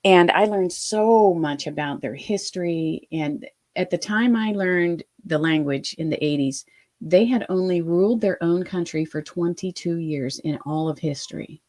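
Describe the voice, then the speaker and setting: A woman in her fifties from Ohio, a guest telling her life story in an interview, animated and full of quoted asides. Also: on the low side, unhurried. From Ohio, USA.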